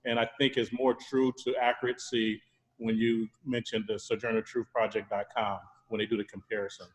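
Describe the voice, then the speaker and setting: Guy on a video call, stops and restarts a lot, boomy echoey room. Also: level tone. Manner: halting, restarts sentences, polite. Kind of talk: casual video-call conversation. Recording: echoey room with long reverb.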